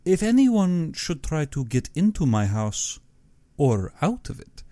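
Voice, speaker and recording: A man's voice: fairly high pitch, big pitch swings, unhurried, dark tone, dry recording, pitched above the performer's own voice. A man voicing a genteel, menacing general in an audiobook, with pompous and exaggeratedly courteous speech. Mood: proud, sinister. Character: genteel, menacing aristocratic general who hunts men.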